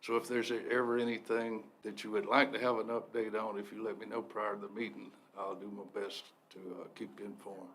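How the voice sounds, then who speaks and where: A man serving as a deputy forest supervisor, briefing a county commission in a steady, explanatory way with frequent "uh" pauses. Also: lowish voice, a little hiss or hum. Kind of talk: briefing at a public meeting. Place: county commission meeting room.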